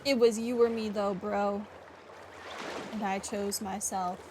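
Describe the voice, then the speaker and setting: A woman's voice: mid-range voice, little pitch movement, takes it slowly, noisy background. A woman giving casual, wry and sarcastic commentary while playing through a video game. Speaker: gamer, playthrough host. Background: game music.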